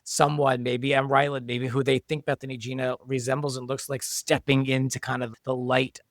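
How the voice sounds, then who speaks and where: Man, creator-writer, even middle voice, thoughtful explainer, dry close mic. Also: mid-range voice, moderate pace, no room sound. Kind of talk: casual chat.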